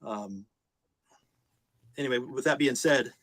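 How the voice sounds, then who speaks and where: A man's voice: medium pitch, takes it slowly, fairly bright tone. A man speaking casually and unscripted to live stream viewers, hesitant, polite and plain-spoken.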